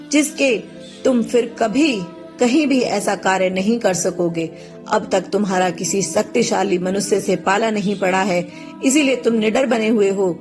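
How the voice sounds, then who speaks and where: Woman plays impassioned proud sage of myth, formal declamation, medium pitch, faint music under. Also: lightly acted. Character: wrathful male divine sage, proud, later remorseful.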